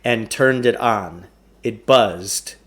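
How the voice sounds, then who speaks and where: A man's voice: on the low side, slow, a bit bright. A man reading a children's novel aloud as a storyteller, in scripted narration.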